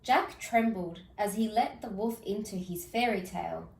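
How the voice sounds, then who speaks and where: A woman reading a children's fairy tale aloud in an expressive storytelling style. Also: medium pitch, normal speed, vocal fry, reverberant, far from the mic, slight background noise, low-quality recording. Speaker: storybook reader.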